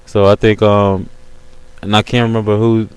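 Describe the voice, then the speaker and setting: A man with a low, smooth voice talks casually and in slang, as host of a webcam call. His delivery stays fairly flat and even, with frequent repeated tag phrases. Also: normal speed, rough recording. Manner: slangy, repeats "you know what I'm saying". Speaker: host of an online video call. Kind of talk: casual chat.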